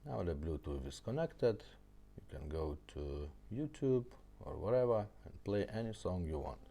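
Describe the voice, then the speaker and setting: Deep-voiced man giving a casual demo. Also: very animated, measured pace, creaky, mellow. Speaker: repair shop presenter demonstrating a product. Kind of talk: casual product demonstration, explaining step by step.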